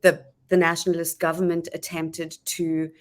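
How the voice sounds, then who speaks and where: A woman's voice: low-pitched, flat delivery, normal speed. A middle-aged woman with a South African accent, speaking thoughtfully as a panelist in a discussion. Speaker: panelist, scholar. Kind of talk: panel discussion, considered talk.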